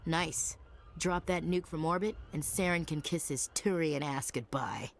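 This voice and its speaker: A woman's voice: deep voice, unhurried. An actress playing a tough, cheeky young female marine in a video game, blunt, wry and informal.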